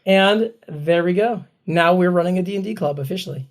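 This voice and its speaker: A man co-hosting a podcast, his voice scratchy from a lingering cold, chatting in a relaxed, rambling way and reading a guest introduction. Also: high voice, brisk. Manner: relaxed, rambling, chuckles often. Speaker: podcast co-host. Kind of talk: casual podcast chat.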